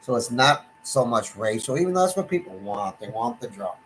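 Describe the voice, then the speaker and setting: A man's voice: mid-range voice, very animated, brisk, creaky, slight background noise. A middle-aged man from Texas with a Texan accent, hosting his own sports stream in a loose, rambling monologue full of restarts and fillers. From Texas, USA. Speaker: sports channel host. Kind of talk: live-stream casual monologue. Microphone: desktop computer setup.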